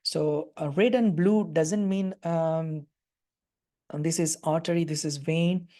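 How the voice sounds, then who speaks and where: A woman with a Bangladeshi accent explains things through a computer mic on a live webinar. Her voice sits a little low and sounds mellow and soft, and she pauses often with fillers as she works through each answer. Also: fairly even intonation. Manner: hesitant, many fillers. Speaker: radiology lecturer.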